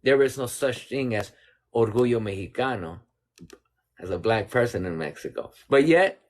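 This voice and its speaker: A man's voice: mid-range voice, expressive, moderate pace. A man speaking earnestly and measuredly as a guest in a discussion, slipping Spanish phrases into his English. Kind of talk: casual discussion.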